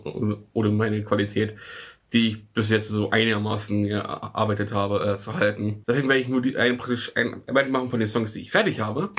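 A young man vlogging from a small room at home, his voice sitting at an ordinary middle pitch. He rambles loosely, doubling back and restarting his sentences as he explains his plans to viewers. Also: a bit bright. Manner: rambling, many restarts and fillers. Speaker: music channel host. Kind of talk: casual vlog talk to camera. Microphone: studio mic off camera.

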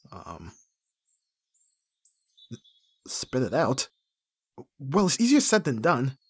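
A man acting a flustered young best friend in love in an intimate ASMR roleplay, husky and breathy, with a hesitant, stammering delivery. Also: medium pitch, no echo, exaggerated voice. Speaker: audio roleplay voice actor.

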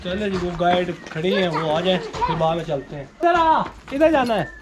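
A man's voice: high voice, expressive, normal speed. A man with a Pakistani accent casually narrating a travel vlog and chatting with locals. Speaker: travel vlogger. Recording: clear background noise, handheld outdoor vlog.

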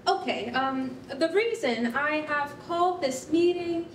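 A woman plays an upbeat, patient school counselor with a very high voice. It is a staged reading, picked up from a distance in a roomy hall with faint noise. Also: a little slow. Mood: concerned. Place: concrete rehearsal hall, live staged reading. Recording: roomy, distant mic, noisy rumble.

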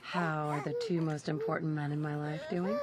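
A woman playing an affectionate, gently teasing young wife and mother in a video game. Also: sits low, level tone, moderate pace, over a noisy crowd, close to an everyday voice. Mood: playful, warm. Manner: warm, playful, affectionate. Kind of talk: natural video game acting.

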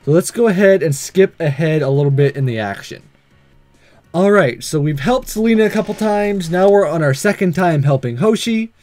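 High-pitched man doing chatty game commentary. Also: lively intonation, darkish tone, faint music behind. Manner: halting, chatty, addresses viewers. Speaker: gaming channel host. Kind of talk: casual let's-play commentary.

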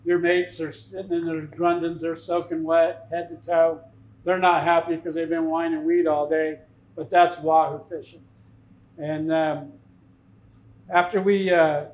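A man in his fifties giving an informal, plain-spoken seminar talk as a fishing captain, telling anecdotes. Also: fairly high pitch. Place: tackle shop, indoors, speaking to an audience. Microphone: lapel mic. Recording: echoey shop room.

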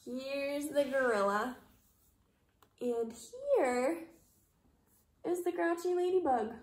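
Young female storyteller for small children, high and very expressive, slow delivery, recorded in a roomy space. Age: young adult. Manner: expressive, dramatic, asking and explaining to children. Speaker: kindergarten teacher reading aloud. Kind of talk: animated picture-book read-aloud for young children. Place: living room at home. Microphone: camera or phone a short distance away. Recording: roomy indoor echo.

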